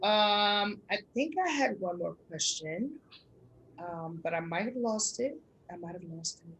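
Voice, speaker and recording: A woman's voice: medium pitch, expressive, unhurried, a bit bright, slight background noise. A woman hosting an informal interview, steering the conversation in a casual, conversational way. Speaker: host.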